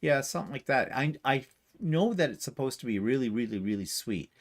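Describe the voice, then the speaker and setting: A man's voice: medium pitch, expressive, a little fast. A man co-hosting a casual livestream, reading viewer comments aloud and answering with chuckling asides. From Canada. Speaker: livestream co-host.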